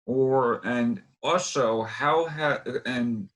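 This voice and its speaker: A man hosting a casual interview, asking questions in a halting, rambling way with many restarts. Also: mid-range voice, a bit bright. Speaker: interview host.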